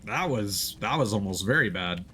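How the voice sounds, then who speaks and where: A man's voice: fairly low pitch, animated, normal speed, bright, forceful tone, a little hiss or hum. A man giving casual, playful gameplay commentary, with loose swearing and silly exclamations. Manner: playful, profane exclamations and sound words. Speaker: game streamer. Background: video game sound effects.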